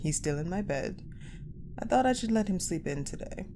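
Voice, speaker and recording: A woman playing a cold, guarded, possessive war general in a dramatic audio roleplay, speaking in a measured, haughty, pensive way with deliberate pauses. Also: fairly low pitch, expressive. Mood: dry, guarded. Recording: clearly noisy, ambient sound bed.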